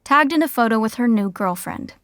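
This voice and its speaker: A woman narrating a comedy podcast in a dry, deadpan way. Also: a little high, fairly quick, dry recording.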